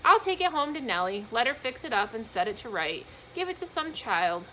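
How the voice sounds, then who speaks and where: A woman's voice: on the high side, very animated, fairly quick, sharp tone, slight background noise, poor audio. A woman voicing a grizzled, kindly old fisherman in a storybook, with folksy, plain-spoken phrasing. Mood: musing, kindly. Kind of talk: storybook read-aloud.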